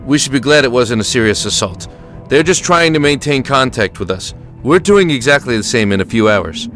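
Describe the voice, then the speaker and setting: An actor playing a tired but steady American army lieutenant in a war audio drama, with measured, reassuring authority. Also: male voice, mid-range voice, faint music behind, lightly acted. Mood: steady, weary.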